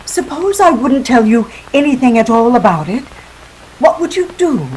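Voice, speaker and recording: Woman playing a lilting, high-voiced radio femme fatale. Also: sing-song, moderate pace, darkish tone, a little hiss or hum, poor audio. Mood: coy, evasive. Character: scheming, seductive femme fatale dame, world-weary liar. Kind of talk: 1940s radio melodrama acting.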